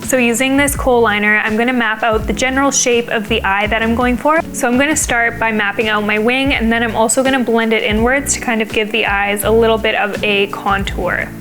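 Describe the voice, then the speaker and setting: A young woman, slightly raspy as she gets over a cold, explaining a makeup look step by step in a casual, chatty way. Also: on the high side, steady tone, a little fast, few pauses, fairly bright tone, soft background music. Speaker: beauty vlogger.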